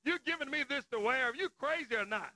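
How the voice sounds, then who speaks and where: Brief: man, wrestling TV host, high bright voice, folksy and promotional. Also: animated, fast, rough recording. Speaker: wrestling TV announcer. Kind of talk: live wrestling TV commentary.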